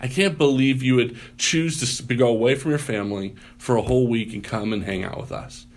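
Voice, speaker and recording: A man's voice: mid-range voice, a little fast. A man who works as a pastor, telling stories, acting out what people said. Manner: animated storyteller, loud voice, acts out quotes. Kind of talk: casual podcast storytelling.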